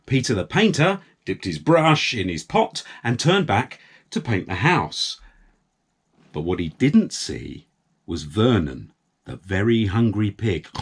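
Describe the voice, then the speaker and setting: A man narrating a story for young children, with deliberate, dramatic phrasing. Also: on the low side, slightly rough.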